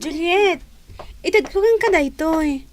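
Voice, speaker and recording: A woman's voice: extremely high pitch, animated, unhurried. A woman acting a meek, obliging young schoolgirl in a radio drama, with an Ilocano accent.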